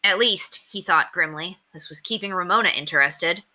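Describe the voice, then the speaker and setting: A woman reading a children's story aloud with expressive, dramatic emphasis. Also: lowish voice, moderate pace.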